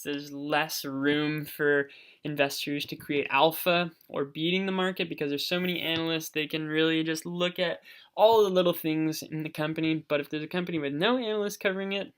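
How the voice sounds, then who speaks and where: Bright clear-voiced investing channel host. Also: mid-range voice. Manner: casual, thinking aloud with frequent ums; advisory, coaching viewers directly. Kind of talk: screen-share stock research walkthrough.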